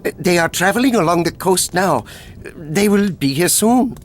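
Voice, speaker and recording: A man's voice: high voice, lively intonation, moderate pace, slight background noise. An actor playing a nervous, pleading henchman who stammers fearfully in a video game cutscene. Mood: frightened.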